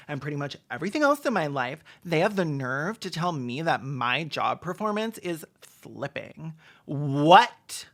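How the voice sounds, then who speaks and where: A commentator acting out an overworked woman employee venting online. The delivery is exaggerated and indignant, swinging upward in pitch and volume as the post is read aloud. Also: a bit bright. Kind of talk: dramatic reading of a social media post.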